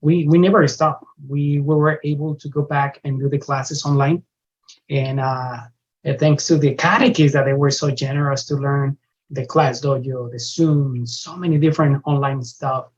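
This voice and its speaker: A man's voice: medium pitch, fairly even intonation, moderate pace. A man with a strong Mexican accent, a Spanish speaker, earnestly addressing parents at an orientation over a video call, repeating himself often. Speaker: parish religious education coordinator. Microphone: video call microphone. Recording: dull lo-fi video call audio.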